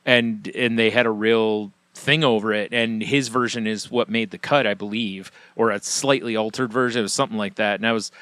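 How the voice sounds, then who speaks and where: A man's voice: fairly low pitch, fairly even intonation, a little fast, hardly any pauses, fairly bright tone, no room sound. A man co-hosting a podcast, talking in a rambling, casual way full of "like" and "you know" asides. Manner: rambling, filler-heavy, many asides. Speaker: podcast co-host. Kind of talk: casual chat.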